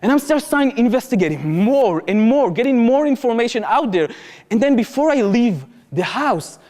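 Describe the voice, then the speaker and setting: A young Israeli man with a fairly high voice addresses a crowd. He talks with earnest, urgent passion. A long reverb from the lecture hall trails his words. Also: animated, fairly quick, darkish tone. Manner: earnest, impassioned, urgent appeals to the audience. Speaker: activist speaker. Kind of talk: public talk, personal storytelling.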